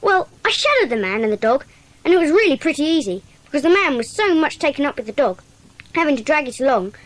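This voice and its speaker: A woman's voice: high-pitched, big pitch swings, fast talker, no echo. A woman playing an eager, earnest schoolboy sleuth who gives detailed reports in a children's audio drama. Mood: earnest, puzzled.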